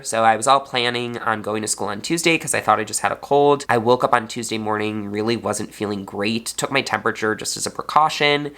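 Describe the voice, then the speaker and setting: A man's voice: on the low side, bright, forceful tone. Congested man, earnest teacher vlog. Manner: earnest, heartfelt. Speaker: fourth grade teacher. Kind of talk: personal vlog.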